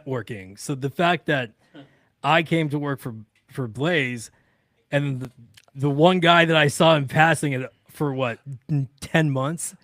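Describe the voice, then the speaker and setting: A man talking as a radio-industry guest, telling casual anecdotes in an animated, unguarded, salty-tongued way. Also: a little high, unhurried, no room sound, clean studio sound. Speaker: radio broadcaster, podcast guest.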